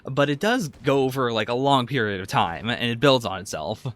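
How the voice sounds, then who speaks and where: A man's voice: mid-range voice, animated, moderate pace, a bit bright. A man co-hosting a podcast, casually discussing anime in conversation. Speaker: podcast host.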